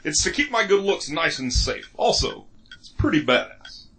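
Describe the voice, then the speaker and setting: A man's voice: fairly high pitch, big pitch swings, moderate pace, creaky, a bit bright, a little hiss or hum, heavily acted. A man playing an eccentric, vain and greedy mayor in a comedic audio drama, pompous, wordy and theatrical. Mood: grandly cheerful.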